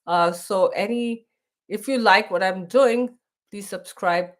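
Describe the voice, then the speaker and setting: A woman's voice: mid-range voice, slow pace. Chatty Indian woman hosting a live stream. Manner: chatty, many restarts, reads text aloud. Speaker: host of a live stream for expats. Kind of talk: casual live stream chat.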